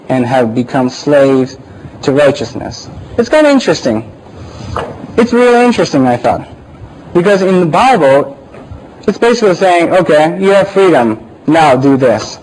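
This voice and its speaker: A man with a Japanese accent, whose first language is Japanese, gives an informal church talk, often pausing and searching for words. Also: medium pitch, a little mellow, a little hiss or hum, low-quality recording. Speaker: church speaker.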